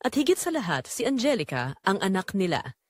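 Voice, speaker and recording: Woman playing confessional mistress Clarita, lively-pitched and dry. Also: brisk, breathy edge, dry recording. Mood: wistful, guilty. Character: Clarita, remorseful secretary and mistress confessing forbidden love. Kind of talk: dramatic first-person audio drama narration.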